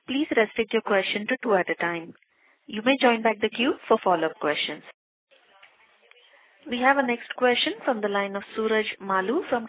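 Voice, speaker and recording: A woman working as a conference call operator, making formal scripted announcements. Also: mid-range voice. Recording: narrow telephone line.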